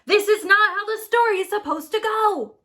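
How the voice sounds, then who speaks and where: A woman's voice: extremely high pitch, normal speed, bright, forceful tone, voice pushed up. A woman playing a bossy, exasperated storybook narrator with an emphatic delivery. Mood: fed up.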